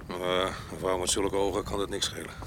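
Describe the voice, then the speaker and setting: Radio drama: man playing rough fugitive killer, low and gruff, fatherly underneath, clear background noise. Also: level tone, a little fast, lightly acted. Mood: urgent, fatherly. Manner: gruff, plain-spoken. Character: rough male fugitive murderer, weary, fatherly to his comrade.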